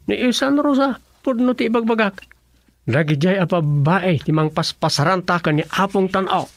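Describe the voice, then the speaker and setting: A man's voice: sits high, normal speed. An actor playing an earnest, curious village councilman in a Filipino radio comedy drama, questioning and conversational, with an Ilocano accent. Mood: insistent.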